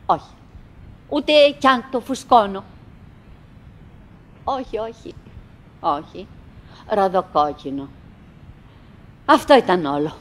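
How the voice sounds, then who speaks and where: An actress playing a wistful middle-aged woman who chatters bravely to her husband in halting, theatrical radio drama monologue. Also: medium pitch, close to an everyday voice. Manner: halting, fragmented, dramatic, swinging between murmurs and calls.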